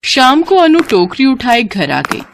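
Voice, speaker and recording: A woman's voice: sits high, very animated, a little slow, slight background noise. A woman narrating a cartoon story in a scripted, emotive storybook manner.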